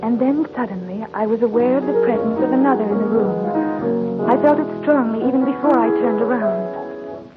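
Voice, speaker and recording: A woman's voice: on the high side, normal speed, loud music behind the voice, close to an everyday voice. An actress playing a sheltered, wistful young heiress who tells her story formally in a 1940s radio drama style. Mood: dreamy, lonely. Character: sheltered, wistful 21-year-old heiress, genteel and melancholy. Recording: muffled tinny 1940s radio recording.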